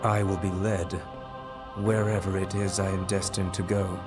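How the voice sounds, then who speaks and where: Man as low, flat, enigmatic villain. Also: moderate pace, over music, natural voice. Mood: detached. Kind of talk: video game villain acting.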